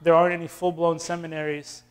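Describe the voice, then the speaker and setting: A man in his thirties from Austin, Texas, an imam answering questions in a relaxed, classroom way with fillers and restarts, filmed by a far camera in a community center room. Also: on the high side, quick pace, clear, resonant tone. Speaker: imam teaching an intro class.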